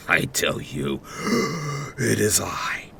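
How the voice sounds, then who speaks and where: A man voicing a malevolent, centuries-old dying sorcerer in a horror audio drama, with a gasping, drawn-out, shrieking delivery. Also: on the low side, huge pitch swings, vocal fry, sharp tone, slight background noise, exaggerated voice. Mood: malevolent, gloating. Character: dying six-hundred-year-old evil sorcerer, malevolent and vengeful.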